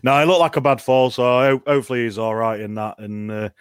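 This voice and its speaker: A man's voice: mid-range voice, little pitch movement, brisk, clear, resonant tone, no room sound, clean studio sound. A man on a fan panel, talking bluntly and curtly. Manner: blunt, curt. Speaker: panel guest. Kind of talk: casual fan chat.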